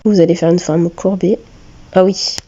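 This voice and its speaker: A woman with a cold giving a step-by-step drawing tutorial, talking hesitantly with many filler pauses. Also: fairly low pitch, fairly even intonation, mellow, muffled recording. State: has a cold. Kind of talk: screen-recorded step-by-step tutorial.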